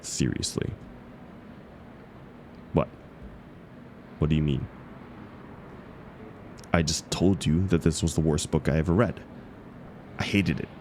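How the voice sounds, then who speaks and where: A man's voice: extremely low pitch, slow, long pauses, darkish tone, slight background noise, full character voice. A man acting a grumpy, exasperated book club member in an audio roleplay, sarcastic, emphatic and indignant. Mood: grudging, defensive. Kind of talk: scripted audio roleplay acted as one side of a conversation.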